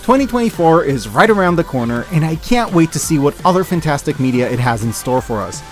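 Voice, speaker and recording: A man giving scripted video-essay commentary as an online reviewer, enthusiastic and expressive with wry asides. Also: a little high, brisk, soft background music. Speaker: online media reviewer and host.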